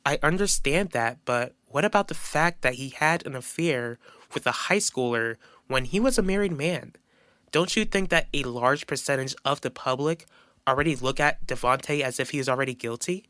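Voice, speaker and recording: A man voicing a persistent woman interviewer with a formal, questioning manner in an animated soap opera. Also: medium pitch, moderate pace, clear, resonant tone, no echo, natural voice. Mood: challenging. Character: persistent, challenging female interviewer.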